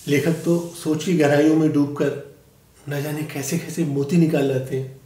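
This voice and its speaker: A man performing a dramatic monologue as an aggrieved, dignified aging father giving a formal speech, solemn and earnest with long pauses. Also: fairly high pitch, steady tone, mellow, lightly acted. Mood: solemn, reflective. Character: aggrieved, dignified aging father, a humble author addressing an audience. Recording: echoey room.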